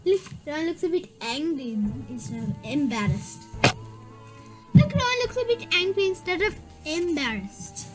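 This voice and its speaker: A high-pitched voice reads a spooky children's story aloud. The reader often stumbles, restarts and repeats words. Music plays clearly behind the reading. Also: big pitch swings, moderate pace, rough recording. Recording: background music.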